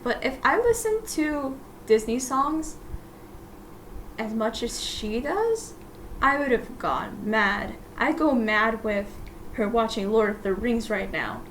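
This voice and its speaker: Solo podcast host, woman, Russian as first language, fairly high pitch, loose rambling chat with soft self-conscious laughs. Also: a little hiss or hum. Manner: rambling and self-deprecating, often laughing softly; chatty, full of "like" fillers and restarts.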